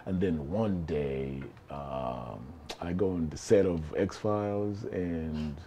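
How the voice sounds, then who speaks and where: Deep, mellow middle-aged man from Kenya. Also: takes it slowly, slight background noise. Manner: relaxed storytelling with frequent restarts and chuckles. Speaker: actor, interview guest. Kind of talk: casual interview chat.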